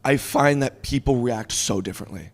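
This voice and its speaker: A man's voice: mid-range voice, moderate pace, vocal fry. Young canadian guy, canadian accent, podcast host, chatty, laughs often. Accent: Canadian English. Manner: chatty, asks questions, laughs a lot. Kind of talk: casual interview chat.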